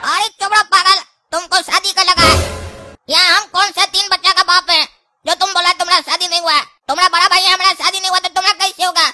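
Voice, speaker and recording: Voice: extremely high pitch, fairly even intonation, fast talker, pushed and bright, soft background music, exaggerated voice. A performer voicing a bossy, bickering young male cartoon pumpkin, an older brother who talks in colloquial scolding Hindi. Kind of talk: comic cartoon dialogue.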